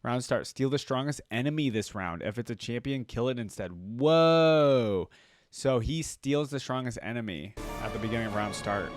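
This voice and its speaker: A man's voice: mid-range voice, expressive, normal speed, no room sound, clean studio sound. A man hosting a reaction video, rambling conversationally and musing aloud in casual commentary. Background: game music.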